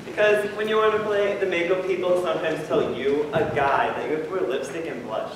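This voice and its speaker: A man's voice: a little high, steady tone, brisk. Dry-voiced teen boy on an echoing stage. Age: teens. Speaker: high school student performer. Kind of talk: readers theater stage performance. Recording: echoey hall from far off, background noise.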